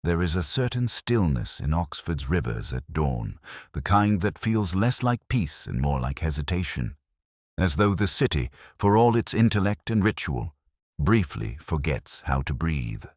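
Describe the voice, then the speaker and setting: A man playing a brooding, literary police detective, narrating a mystery in a measured, reflective, melancholy manner. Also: rumbling low, sing-song, dry recording, clean studio sound, heavily acted. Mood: somber, reflective. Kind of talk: slow scripted first-person mystery narration.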